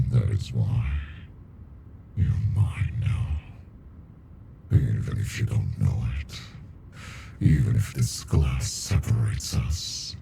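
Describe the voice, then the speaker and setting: An ancient alien monster, played by a man, possessive and smitten, in an intimate ASMR roleplay. The voice is extremely deep, dark and gravelly, and speaks with slow, brooding deliberation. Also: a little hiss or hum, heavily acted.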